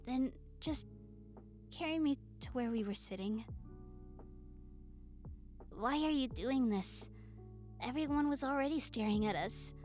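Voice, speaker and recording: A woman voicing a shy, nerdy young goblin woman in a romantic audio roleplay, hesitant, stammering and soft-spoken. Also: high-pitched, unhurried, long pauses. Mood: flustered, embarrassed. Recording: music behind the voice. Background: soft music.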